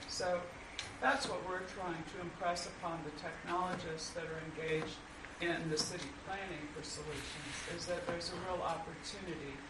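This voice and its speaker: Panelist, measured and deliberate, roundtable talk, echoey room, far from the mic. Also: female voice, lowish voice, fairly even intonation. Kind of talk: panel discussion remarks. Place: conference room. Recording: echoey room, distant mic, loud background noise.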